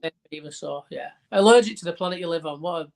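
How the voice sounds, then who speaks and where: A man's voice: fairly high pitch, quick pace, vocal fry. A man with a Bolton accent and a stuffy nose from a cold, chatting as a guest on an online podcast and rambling a little as he talks. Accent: Bolton, northern English. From Bolton, England. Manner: chatty, rambling, repeats words when starting sentences. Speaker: wrestling ring announcer and radio host, guest. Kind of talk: casual podcast interview chat. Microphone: online call.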